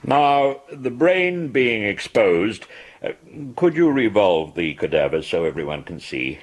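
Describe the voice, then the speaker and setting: Anatomy professor male actor, unflappable, slow and deliberate with swooping intonation, courteous radio drama lecturing. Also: mid-range voice, slight background noise. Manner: deliberate, matter-of-fact.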